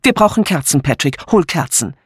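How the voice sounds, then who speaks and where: A composed older lady of the house played by a male narrator in an audiobook. The voice is somewhat raised and dry in sound, brisk, commanding and dismissive of fuss. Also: fairly high pitch, very animated, breathy edge, dry recording. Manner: matter-of-fact, dismissive of fuss.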